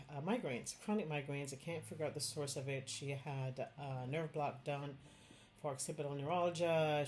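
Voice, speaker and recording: Low-voiced Jamaican woman doctor. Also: a little mellow, slight background noise. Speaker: Chinese medicine doctor. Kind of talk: direct-to-camera health talk.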